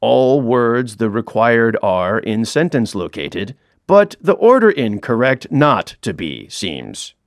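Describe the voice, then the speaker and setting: Middle-aged man, dry close studio read, animated swoops in pitch, wry self-deprecating comic narrator. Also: slow, no room sound. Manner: wry, self-mocking storyteller. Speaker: author reading his own audiobook.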